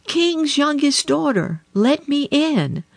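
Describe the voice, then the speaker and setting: A woman doing a high, pleading little frog. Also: big pitch swings, slow pace, gravelly, no room sound, heavily acted. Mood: insistent. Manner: high, plaintive calling. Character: small pleading male frog, persistent. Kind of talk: storybook reading.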